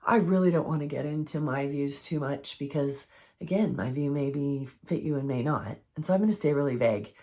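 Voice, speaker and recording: A woman with a froggy, hoarse voice from recent illness, giving an earnest, conversational faith-based health teaching. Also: low-pitched, brisk, a little mellow. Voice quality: froggy, strained voice.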